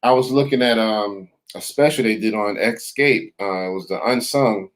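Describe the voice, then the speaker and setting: A man co-hosting a hip hop podcast, talking in a relaxed, agreeable way with lots of fillers. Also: on the low side, normal speed. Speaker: podcast co-host. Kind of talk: casual chat.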